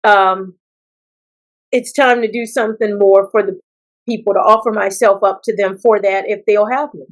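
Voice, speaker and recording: An American woman from South Carolina answering interview questions as a political candidate, speaking in deliberate phrases with pauses. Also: mid-range voice, fairly even intonation. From South Carolina, United States. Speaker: political candidate, attorney and former judge.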